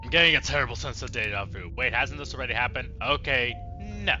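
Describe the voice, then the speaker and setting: A man's voice: medium pitch, expressive, quick pace, bright, forceful tone, muffled recording, soft background music. A man giving casual, sweary, sarcastic gaming commentary, talking back to the game as he streams. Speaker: let's play streamer.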